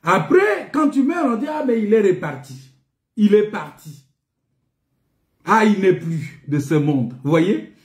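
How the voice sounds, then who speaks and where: A man preaching a sermon in an emphatic, repetitive teaching manner, pausing on rhetorical questions. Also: sits high, big pitch swings. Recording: echoey, reverberant room.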